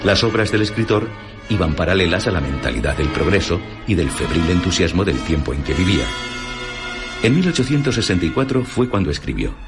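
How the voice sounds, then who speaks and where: A man with a Castilian Spanish accent presenting literary radio stories in a measured, dramatic scripted narration. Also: sits low, lively intonation. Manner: measured, dramatic storyteller delivery. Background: music behind the voice.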